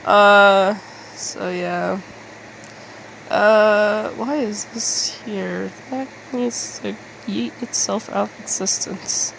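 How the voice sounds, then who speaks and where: A woman rambling casually in a halting, self-deprecating, mildly sweary way, as an artist making a video. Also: medium pitch, fairly even intonation, gravelly, noisy background.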